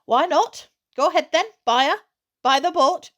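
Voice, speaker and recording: A gritty storybook mum played by a woman reader who takes charge. Her voice jumps high and loud, with a firm, decisive delivery. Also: normal speed. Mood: decisive agreement. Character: gritty, decisive mother, calmly taking charge. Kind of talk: acted dialogue in a children's novel reading.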